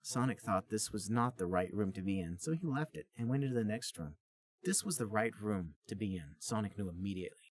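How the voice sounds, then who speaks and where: Husky man reading aloud, lively pitch. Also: a little fast. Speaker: podcast co-host reading aloud. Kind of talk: narrated reading with casual banter.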